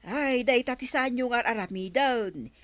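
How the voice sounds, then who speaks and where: A woman's voice: a little high, unhurried, no room sound, lightly acted. A woman acting an inquisitive, reproving village councilwoman in an Ilocano radio comedy, with an Ilocano accent.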